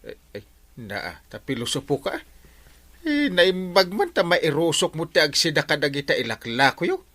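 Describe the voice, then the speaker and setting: An actor playing an exasperated, blustery village captain who scolds and exclaims in a comedic radio drama. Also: male voice, fairly high pitch, very animated, normal speed, a bit bright. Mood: scolding.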